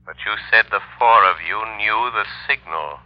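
Man playing mysterious calm avenger, lowish voice, formal deliberate delivery, radio thriller, dull vintage sound. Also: no room sound, close to an everyday voice. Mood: calm, commanding. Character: mysterious, calmly authoritative male avenger, coolly sceptical. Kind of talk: 1930s radio acting. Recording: dull, close, old radio recording.

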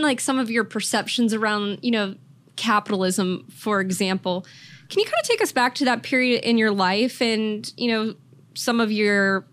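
A woman's voice: on the high side, fairly bright tone. A woman hosting an interview show, reading an upbeat intro and then asking long questions filled with "um" and "you know". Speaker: podcast host.

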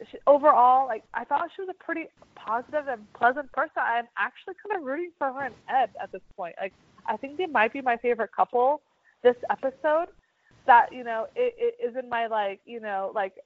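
A woman from the United States co-hosting a casual chat, talking lively with lots of filler words. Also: a little high, no room sound. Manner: lively, lots of "like" and "you know". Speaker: podcast co-host. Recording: dull dry lo-fi sound.

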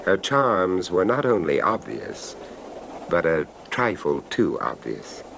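An actor playing a reserved, urbane traveler who narrates a radio suspense tale in a measured, polite, literary manner. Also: male voice, big pitch swings, creaky, heavily acted. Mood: measured, wry. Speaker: narrator and character. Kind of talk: old-time radio horror narration and acting. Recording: noisy radio recording, train rumble.

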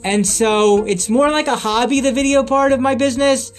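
A man's voice: extremely high pitch, fairly even intonation, normal speed, faint music behind. A man giving a rambling solo update on his podcast and videos, often repeating and restarting his phrases. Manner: repetitive, restarts phrases, earnest.

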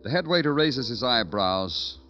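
An actor playing a terse, world-weary police detective in an old radio crime drama, with clipped, dry delivery. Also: male voice, medium pitch, expressive, unhurried, pushed and bright. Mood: brooding narration. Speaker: lead actor and narrator. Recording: dull old radio recording with music.

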